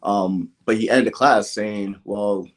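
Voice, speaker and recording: A man's voice: fairly low pitch, steady tone, slow. A young man from Nigeria who grew up in the American Midwest, talking casually as a podcast guest and peppering his stories with "like" and "you know". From born in Nigeria, grew up in the American Midwest. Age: young adult. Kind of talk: casual interview, personal storytelling.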